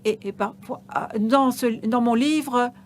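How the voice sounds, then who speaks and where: A woman interpreting a stage conversation as it happens, her voice sitting a little high. She halts often, filling pauses and restarting phrases, and a faint noise runs underneath. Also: darkish tone. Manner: halting, with many hesitations and restarts.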